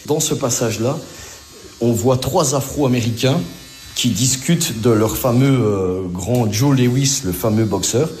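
A man's voice: medium pitch, measured pace, a little mellow. A middle-aged man from Belgium with a Belgian French accent, chatting casually and reminiscing in a colloquial way. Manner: colloquial, uses conversational tags. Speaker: interviewee recounting family memories.

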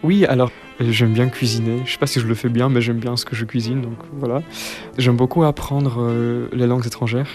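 Brief: young male cellist from Poland, speaking in a second language, level monotone, halting, faint music underneath. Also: medium pitch. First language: Polish. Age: 20s. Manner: soft-spoken, hesitant, many fillers and restarts. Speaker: cellist interviewee. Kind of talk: interview answers.

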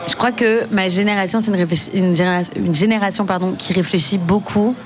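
A woman's voice: medium pitch, steady tone, fast talker. A French woman in her twenties with a Parisian accent, a singer chatting in a radio interview, hesitant and full of fillers. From France. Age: 20s. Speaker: singer guest. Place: public broadcasting building, busy space. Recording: crowd chatter behind.

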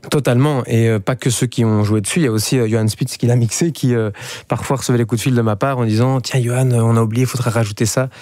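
A man in his thirties from Belgium speaks with a Belgian French accent in a radio interview. His voice is mellow and relaxed, and the sound is close and dry. He hesitates often, with fillers and restarts. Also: mid-range voice, fast, no room sound. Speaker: musician guest.

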